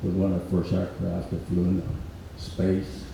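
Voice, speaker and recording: Older man in his eighties, hoarse, low-pitched, halting delivery, heard from a distance in an echoey hall. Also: completely flat delivery, mellow, slight background noise. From Mississippi Delta, USA. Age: 80s. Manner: halting, many pauses and filler ums. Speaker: guest speaker. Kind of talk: informal speech at a reunion event.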